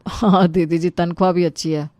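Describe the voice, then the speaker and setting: A woman's voice: lowish voice, steady tone, moderate pace, darkish tone, dry recording, close to an everyday voice. A woman voicing an anxious, deferential relative in a Hindi story, with a polite, pleading manner. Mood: eager, respectful. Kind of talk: Hindi storybook character acting.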